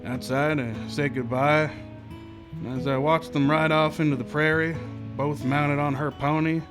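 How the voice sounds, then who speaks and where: A man's voice: a little high, steady tone, a little slow, music in the background, natural voice. A man playing a plainspoken, kindly, sombre Old West marshal in a live radio western. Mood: reflective narration.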